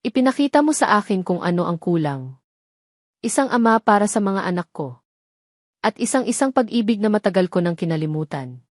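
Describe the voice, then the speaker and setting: A woman's voice: mid-range voice, big pitch swings, a little mellow, no echo, studio quality. Woman voicing a remorseful, pleading young mother. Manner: trembling, halting, pleading. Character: remorseful, frightened mother pleading with the man she loves. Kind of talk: audio drama storytelling.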